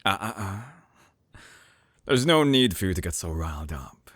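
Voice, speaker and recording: A man playing a suave, obsessive villain scientist in an intimate audio drama, murmuring with silky condescension in a breathy, theatrical way, close to the mic. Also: sing-song, measured pace, a bit bright, no echo, exaggerated voice. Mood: smooth, condescending. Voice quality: low, breathy, husky murmur. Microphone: close binaural microphone.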